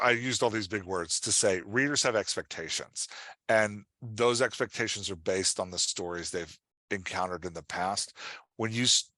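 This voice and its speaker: A man's voice: fairly low pitch, sharp tone, dry recording. A man working as a writing coach, answering questions in an informal, emphatic, conversational way with casual examples.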